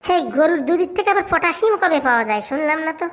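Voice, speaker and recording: A woman's voice: very high-pitched, animated, racing. A woman voicing a small, pert, nitpicking schoolchild in a comic cartoon, argumentative and correcting.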